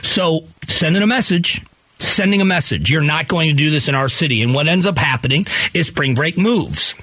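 A man's voice: on the high side, moderate pace, sharp tone, slight background noise. An American man in his fifties from Florida, hosting a talk radio show with opinionated monologues that repeat phrases for emphasis. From Florida, United States. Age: 50s. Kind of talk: conversational radio monologue on news. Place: radio studio.

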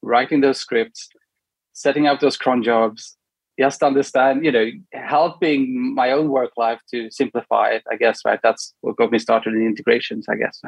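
Husky-voiced Swedish man, relaxed. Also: mid-range voice, moderate pace, no room sound, low-quality recording. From Sweden. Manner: relaxed, hesitant with many ums and tag questions. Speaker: guest, product manager. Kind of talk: casual interview chat.

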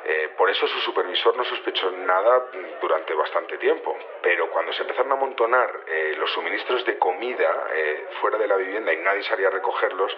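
An actor playing a nonchalant security chief on the telephone in a radio drama, talking colloquially and a little hesitantly. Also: male voice, low-pitched, brisk, hardly any pauses, noisy background. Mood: casual, matter-of-fact. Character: casual, unbothered male security chief phoning a report. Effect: telephone filter. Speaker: head of security. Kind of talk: phone interview in a radio drama. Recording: thin, narrow phone line.

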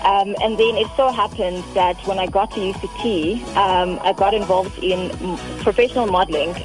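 A young South African woman in her twenties, answering interview questions at a measured pace with many um fillers. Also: mid-range voice, music in the background, poor audio. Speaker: guest. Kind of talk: phone interview. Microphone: phone line.

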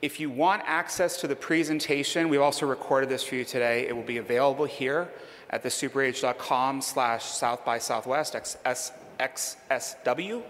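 A man's voice: medium pitch, steady tone, normal speed, a bit bright. A man giving a conference presentation in an emphatic, persuasive way, then taking audience questions. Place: conference hall. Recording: reverberant hall, faint background noise.

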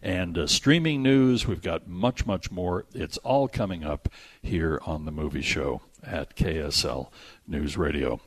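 A man's voice: low-pitched, measured pace, dry recording, studio quality. A man hosting a radio show, bantering and reading sponsor plugs effusively, stretching words for emphasis. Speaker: radio movie show host.

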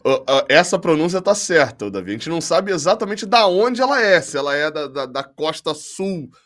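A man's voice: fairly high pitch, sing-song, moderate pace, fairly bright tone. A man, a podcast commentator with wry, teasing humor in casual chat.

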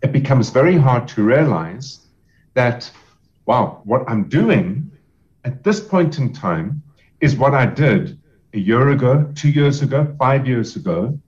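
A man advising on selling a business, speaking in a measured, explanatory way with emphatic repetition. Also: mid-range voice, very animated, a little mellow, dull audio. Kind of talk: podcast interview answers.

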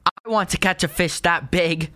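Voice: mid-range voice, moderate pace, pushed and bright, natural voice. A performer playing an eager, curious young boy in a radio play. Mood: excited.

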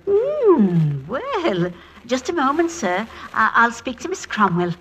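An actress playing a deferential, chatty theatre dresser in 1940s radio style. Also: female voice, fairly high pitch, wildly swooping pitch, takes it slowly, background noise, full character voice. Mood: chatty, respectful. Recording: vintage 1940s radio recording.

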